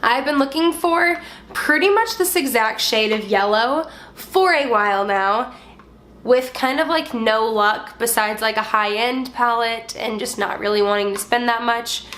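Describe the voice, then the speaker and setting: A woman's voice: fairly high pitch, a little slow, fairly bright tone, slight background noise. A young woman chatting casually through a product review, her voice stuffy and partly gone from a cold, with lots of filler words. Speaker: beauty vlogger.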